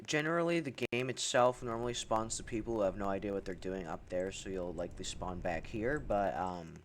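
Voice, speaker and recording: A man's voice: on the low side, brisk, slight background noise. Chatty young man narrating a game tutorial. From Hungary. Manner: chatty, wry asides, frequent ums. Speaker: gaming tutorial creator. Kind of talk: casual game tutorial voice-over.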